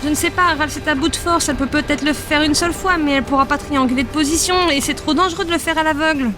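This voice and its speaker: An anxious woman in a fantasy audiobook , voiced by an actress, talks very fast and high. She is urgent and cautious, with music clearly audible behind her. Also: steady tone, lightly acted. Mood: worried. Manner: urgent, worried. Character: anxious, cautious woman, companion of an exhausted monster. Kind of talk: dramatic audiobook dialogue.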